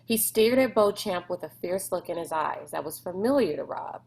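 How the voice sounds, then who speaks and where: A woman's voice: on the low side, lively intonation, normal speed, gravelly, a little mellow, low-quality recording. A woman narrating a children's novel aloud in a scripted storybook style.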